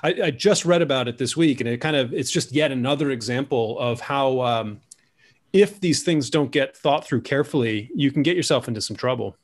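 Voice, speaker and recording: A man's voice: medium pitch, brisk. A man in his forties, a guest author, telling stories animatedly on a video call with lots of gesturing and restarts. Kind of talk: video call conversation, storytelling. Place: room at home.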